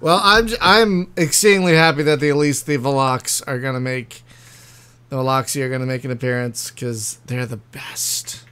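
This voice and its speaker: A man's voice: mid-range voice, fairly even intonation, moderate pace, bright, forceful tone, clean tone. A man hosting a gaming podcast, asking his guests questions with enthusiasm. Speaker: podcast host. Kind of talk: casual podcast interview.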